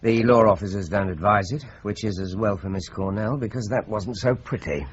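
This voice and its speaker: An actor playing a calm detective who explains a murder case in a measured way. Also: male voice, fairly low pitch, highs cut off, slight background noise, close to an everyday voice. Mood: explaining.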